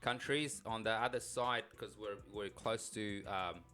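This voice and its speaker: A man's voice: lowish voice, fairly even intonation, unhurried, pushed and bright. Informal man from Afghanistan, music behind him. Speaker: podcast co-host. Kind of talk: casual personal storytelling.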